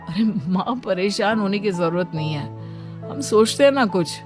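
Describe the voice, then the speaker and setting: A woman's voice: medium pitch, animated, moderate pace, over music, lightly acted. A woman voicing an earnest, troubled young married man in a family story. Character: young adult married son, troubled and dutiful.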